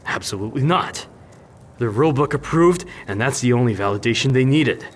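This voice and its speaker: An actor playing a jaded, sardonic war veteran and brewer in a fantasy video game, dry and wistful. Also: male voice, medium pitch, animated, brisk, slight background noise, close to an everyday voice. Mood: bitter.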